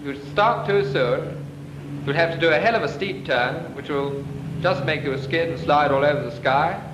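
An actor playing a brisk male naval flying instructor, giving clipped instructions in a 1940s training film. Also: on the high side, natural voice. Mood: instructive. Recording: echoey, hissy 1940s film soundtrack.

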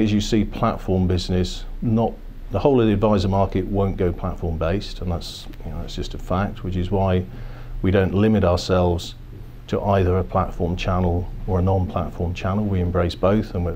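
A low-voiced man in his fifties explains business matters in a steady, corporate way and keeps circling back to restart his phrases. His tone is dark and fairly flat. He is recorded seated in a quiet office with faint ambience behind him. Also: a little hiss or hum. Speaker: financial services executive. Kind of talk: business interview. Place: office, indoors.

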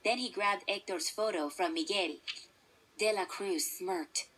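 A woman's voice: mid-range voice, measured pace, fairly bright tone, slightly rough. A woman narrating a children's story from a script, as a read-along storybook narrator. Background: instrumental music.

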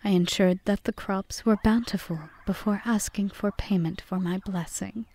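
A woman playing a composed, aggrieved witch in an ASMR roleplay, speaking formally and measuredly in a breathy half-whisper. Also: medium pitch, fairly even intonation, moderate pace, dark tone, lightly acted. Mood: mocking, bitter. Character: cool, bargaining yandere witch, aggrieved yet composed. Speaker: audio roleplay performer.

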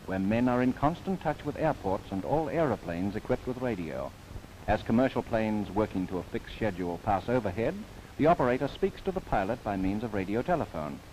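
Male narrator, 1930s documentary film, formal, earnest, highly varied intonation, faintly noisy old soundtrack. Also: darkish tone. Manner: formal, measured, earnest delivery.

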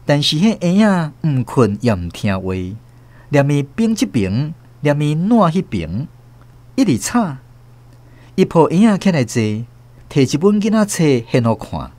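An actor with a Taiwanese accent playing a wry first-person storyteller in a radio drama, narrating expressively. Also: male voice, on the high side, darkish tone, no echo. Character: wry male storyteller observing a mother, returned from abroad.

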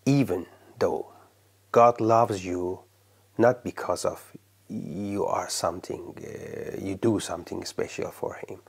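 A man from Iran, a pastor, giving his testimony in halting speech that trails off into near whispers at the ends of phrases. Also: lowish voice, creaky, a little mellow. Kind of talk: testimony interview.

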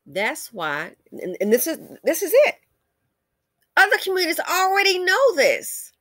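A woman's voice: extremely high pitch, huge pitch swings, measured pace, a bit bright, dry recording, studio quality. An American woman giving blunt, scolding, opinionated commentary with emphatic conversational asides and rhetorical questions as she reads out comments. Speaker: commentary channel host.

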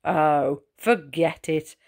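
A woman's voice: deep voice, fairly even intonation, very slow, vocal fry, darkish tone, breathy edge, voice pushed down, heavily acted. A woman voicing a mocking older snail brother in a children's storybook, jeering and emphatic.

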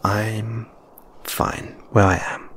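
A British man voicing a shy, flustered lamia flatmate in an intimate audio roleplay, with stammering, hesitant, sighing delivery. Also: on the low side, extremely slow, slightly rough, full character voice. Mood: nervous, defensive.

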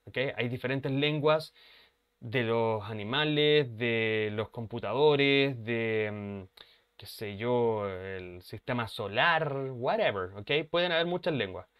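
A man runs an online lesson from home. His voice is smooth and resonant at a middle pitch, and he explains at length, pausing often to check that his students are following. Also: dull audio. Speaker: English teacher. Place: home room, webcam.

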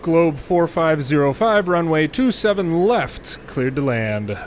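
Higher-pitched man calling out air traffic instructions. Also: expressive. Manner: measured procedural callouts, muttered casual asides. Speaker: gamer and former air traffic controller. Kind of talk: gameplay commentary with air traffic control radio phraseology. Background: game airport ambience.

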